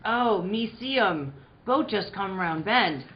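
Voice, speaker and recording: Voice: mid-range voice, lively intonation, takes it slowly, lo-fi sound. An actor playing a loyal, curious boy sidekick in an old-time radio western, in clipped, stilted broken English.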